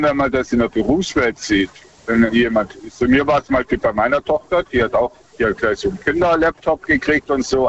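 Give me a man calling a radio show, speaking haltingly on a muffled, distant phone line. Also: medium pitch, a bit bright, a little hiss or hum. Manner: halting, with many restarts. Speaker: phone-in caller. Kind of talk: casual phone conversation.

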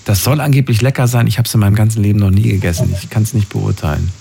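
A man's voice: fairly low pitch, fairly even intonation, fast, vocal fry, dark tone, slightly rough. A man hosting a radio call-in, chatting casually and informally with callers on first-name terms. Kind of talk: casual phone-in talk with callers.

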